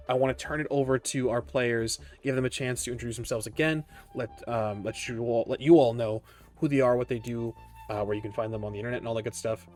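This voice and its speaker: A man runs a tabletop roleplaying game as its host and narrator. His voice sits at an ordinary male pitch, with measured phrasing and faint music underneath.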